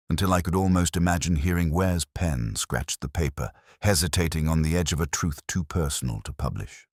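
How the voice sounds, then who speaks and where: A man narrating a first-person detective story in a measured, reflective, literary way. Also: a bass voice, breathy edge, no room sound, studio quality.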